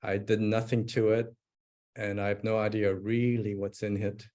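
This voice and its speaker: A man's voice: lowish voice, level tone, moderate pace, a little mellow. A man presenting a live webinar demo, thinking aloud as he types, with frequent fillers and restarts. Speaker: presenter demonstrating software.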